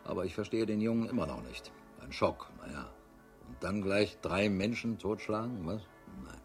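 An actor in a radio crime drama playing a sardonic, world-weary police chief in his fifties with a husky voice, grumbling as he talks. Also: low-pitched, lively intonation, moderate pace, soft background music. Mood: sardonic, puzzled. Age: 50s.

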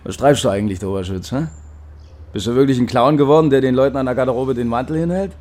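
A man's voice: medium pitch, quick pace, a little mellow, natural voice. An actor playing a mocking, menacing male secret police officer in a radio drama. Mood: taunting.

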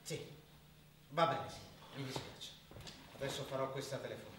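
A man's voice: mid-range voice, moderate pace, natural voice. A man in an Italian radio drama playing a submissive association president, stammering and apologetic under pressure. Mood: flustered. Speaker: radio play actor. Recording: distant, very echoey, noisy.

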